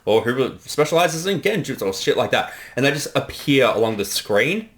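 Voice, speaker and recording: A man's voice: medium pitch, sing-song, normal speed. A man giving a casual director's commentary, enthusiastic and sweary, often hesitating as he talks. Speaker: host and director of a fan parody series.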